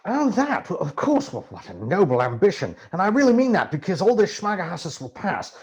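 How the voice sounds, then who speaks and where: A male reader puts on an excitable, earnest male journalist from a storybook. The voice leaps high and swings widely, sounding eager and pleading with every phrase. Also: a little fast. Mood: flustered, flattering.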